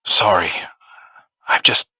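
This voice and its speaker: A man playing an uneasy, earnest video game character who talks in a halting, conversational way. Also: pushed and bright, no room sound. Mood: hesitant, troubled.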